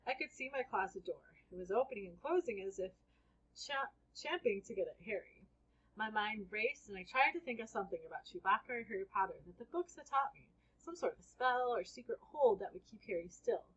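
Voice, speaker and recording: A woman narrates a children's story with a husky edge to her mid-pitched voice. She reads expressively, acting out the dialogue. The recording is slightly dull and lo-fi. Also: expressive, fairly quick, a bit bright. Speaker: read-aloud storyteller. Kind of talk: children's book read aloud, first-person narration.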